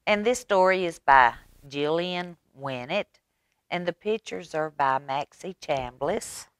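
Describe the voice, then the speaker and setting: A woman reading a picture book aloud at storytime for young children, animated, with chatty asides to her listeners. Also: low-pitched, slow, smooth, no echo.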